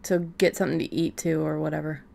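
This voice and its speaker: A woman with a low voice chats informally as a co-host, easygoing and offhand. Her tone has a gravelly, fry-edged texture. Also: flat delivery, fast, warm tone, slight background noise. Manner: chatty and informal. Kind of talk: casual chat about writing fiction.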